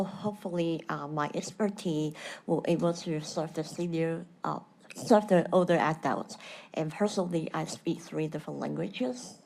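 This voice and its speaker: Creaky-voiced woman with a Hong Kong accent. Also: fairly low pitch, darkish tone. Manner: non-native English phrasing. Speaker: appointee addressing a committee. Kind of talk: prepared self-introduction at public meeting.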